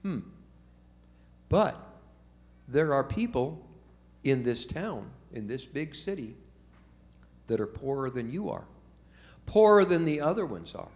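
A man's voice: medium pitch, very animated, takes it slowly, pauses often, darkish tone. A man in his seventies telling a story from memory to children in a folksy, chatty way, often asking them questions. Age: 70s. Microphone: told from memory without notes.

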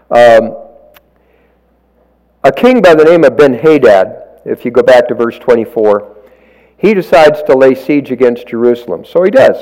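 Guy giving a sermon, loud projecting voice, rambly folksy stories, mic on stage in a church. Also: mid-range voice, low-quality recording. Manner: chatty storytelling, self-deprecating asides. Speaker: lay preacher. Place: church sanctuary. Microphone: stage microphone, walks around.

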